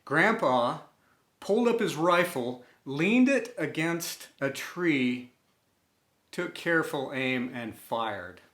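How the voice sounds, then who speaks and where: A man narrating a scripted personal story in an inspirational style, with a measured delivery and deliberate pauses. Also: on the high side, animated, a bit bright. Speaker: storyteller and host. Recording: roomy, echoing room sound.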